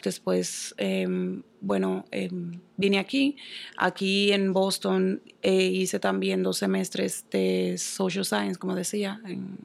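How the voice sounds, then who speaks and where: Gravelly young Dominican woman. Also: medium pitch, slow. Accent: Dominican Spanish. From Dominican Republic. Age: young adult. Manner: soft-spoken, with many hesitations and fillers. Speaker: guest. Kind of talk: casual interview answers.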